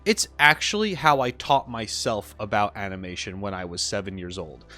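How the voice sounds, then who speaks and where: A man's voice: fairly low pitch, normal speed, sharp tone, soft background music. A man hosting casual reaction commentary, chatty and enthusiastic about what he is watching. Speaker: YouTube host and animator.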